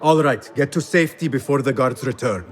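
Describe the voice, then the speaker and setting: A man's voice: mid-range voice, fairly quick, faint music behind, natural voice. A man playing a determined, controlled young assassin in a video game, earnest and probing. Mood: reassuring. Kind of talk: video game character acting.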